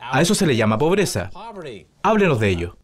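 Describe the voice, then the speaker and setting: A man's voice: medium pitch, very animated, fairly quick, crowd noise. A man hosting a financial news show, delivering dubbed commentary with sarcastic, mocking banter. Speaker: show host.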